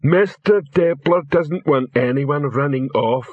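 A big, dim, proud troll henchman, acted by a male narrator in an audiobook. He drags his words out very slowly and simply, in a husky voice on slightly muffled audio. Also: on the high side, dry recording, voice pushed up. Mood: dutiful, stubborn. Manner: slow, ponderous, simple. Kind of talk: audiobook character voice.

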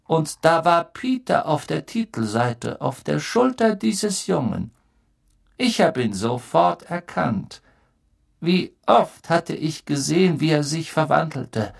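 A man's voice: on the high side, very animated, takes it slowly, creaky, pitched above the performer's own voice, exaggerated voice. A man voicing a haunted escaped prisoner who recounts things with brooding emphasis in a storybook reading. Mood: intense recollection.

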